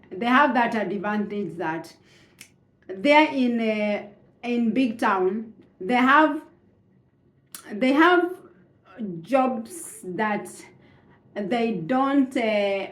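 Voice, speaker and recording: A woman's voice: a little high, echoey room. A Kenyan woman whose first language is Swahili, telling her own story in a hesitant way with many fillers and restarts. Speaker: immigrant sharing her story. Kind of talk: slow reflective personal account.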